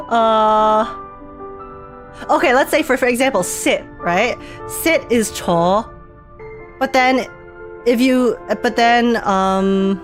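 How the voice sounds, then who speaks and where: Chatty laughing woman streamer. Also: fairly high pitch. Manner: rambling, laughing often, many fillers. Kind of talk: live game reaction chat.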